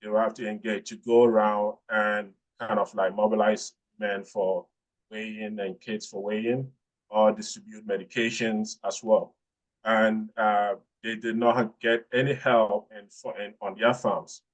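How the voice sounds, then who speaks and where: A researcher from Ghana answering a webinar question, speaking haltingly with many fillers and restarts. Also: male voice, on the low side, level tone, poor audio. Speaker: researcher presenting.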